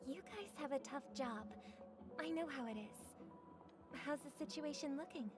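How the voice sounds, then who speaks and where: A young woman speaks in a high voice with gracious, composed poise. She is a calm celebrity diplomat who reassures in formal, measured phrases. Clear background music runs beneath her game dialogue. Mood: gentle, reassuring. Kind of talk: scripted video game voice acting.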